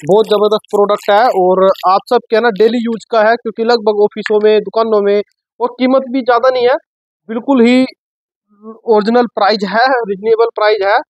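A man, giving a casual unboxing and sales pitch as a shop presenter, explaining informally and repeating himself. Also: steady tone, a little fast, no echo, lo-fi sound. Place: shop office with sofa, indoors.

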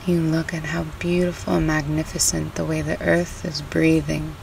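A woman narrating a guided meditation in a soothing, unhurried, gently instructive way. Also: deep voice, level tone, creaky. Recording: distant mic with faint background noise.

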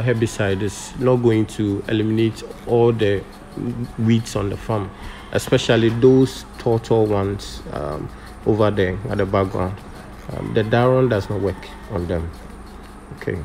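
Low-voiced man explaining farm work. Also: creaky, mellow, slight background noise, low-quality recording. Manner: explanatory, with frequent ums and okays. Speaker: farming channel presenter. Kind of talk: instructional voice-over.

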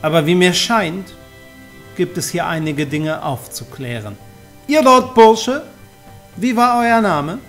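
A man playing an authoritative, formal reeve in a fantasy audio drama, speaking deliberately and with command. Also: high voice, lively intonation, fairly bright tone, faint music behind, full character voice. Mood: formal, measured. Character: commanding male reeve on horseback, brisk and authoritative.

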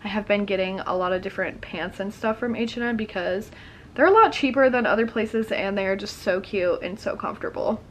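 A woman's voice: medium pitch, brisk. Young woman, casual makeup vlog chat, slightly congested voice, home bedroom, camera mic, faint background hum. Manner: chatty, lots of "like" fillers. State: sore throat, a bit congested. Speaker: beauty vlogger. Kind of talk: casual get-ready-with-me chat. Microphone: camera in front, close framing.

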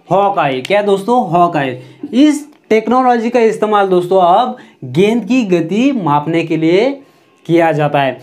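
A man's voice: sits high, a little mellow. A young man teaching at a whiteboard, speaking on a lapel mic and addressing his audience again and again, asking questions and answering them himself. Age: young adult. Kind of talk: whiteboard lesson. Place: indoor room in front of a whiteboard.

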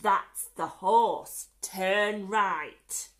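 Woman playing sneaky, slow bumbling storybook burglar. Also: medium pitch, expressive. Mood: confident, sneaky.

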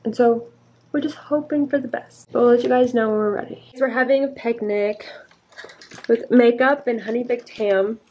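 A woman in her twenties, chatting casually into a handheld camera as she vlogs from a car and a hotel room, telling stories with quick asides. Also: a little high, darkish tone, lo-fi sound, slight background noise. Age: 20s. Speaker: vlogger. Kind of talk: casual vlog chat. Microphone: handheld camera at arm's length.

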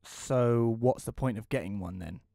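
A man's voice: mid-range voice, normal speed, creaky, dark tone, no room sound, natural voice. A man playing a reclusive, wry widower, narrating an audio play in the first person in a dry, reflective way.

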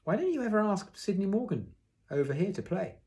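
A fond, encouraging father from a children's storybook, voiced by a male reader. He is eager and coaxing, with a lifted pitch. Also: big pitch swings, brisk, warm tone.

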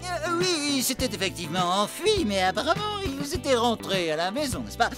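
A woman's voice: fairly high pitch, sing-song, fairly quick. A woman voicing a pompous, evasive pet-boarding keeper in a cartoon, overpolite, theatrical and prone to stammering. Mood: flustered, evasive. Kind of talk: exaggerated cartoon acting. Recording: loud music behind the voice.

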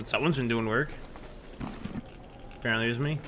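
Hoarse man chatting on stream. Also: medium pitch, fairly even intonation, a little fast, noisy background. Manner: chatty, self-mocking. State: losing his voice after the flu. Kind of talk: casual gameplay commentary.

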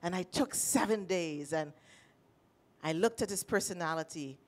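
A middle-aged woman with a Trinidadian accent, sharing a personal story at a panel with animated, emotional delivery, speaking into a handheld mic. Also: on the low side, normal speed. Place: panel stage in a large indoor room.

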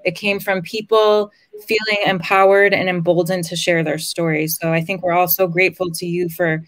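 Woman moderator, lower voice, dry sound, appreciative questions on a video call. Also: flat delivery, moderate pace, no room sound, low-quality recording. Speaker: panel moderator.

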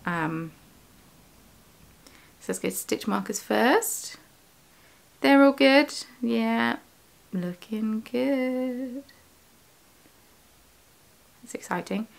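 A woman's voice: a little high, animated, very slow, vocal fry, long pauses. A young woman running a yarn shop, chatting casually in a home studio vlog, rambling with lots of fillers and self-corrections, recorded in her living room by a close desk camera. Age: young adult. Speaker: yarn shop owner and vlogger. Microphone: camera on desk at close range.

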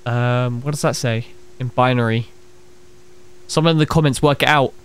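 A young man plays a horror game, reading its text aloud and reacting with quick exclamations and asides to viewers. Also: medium pitch, steady tone, smooth. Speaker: gaming streamer. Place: small room at a desk. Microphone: headset. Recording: game music playing under the voice.

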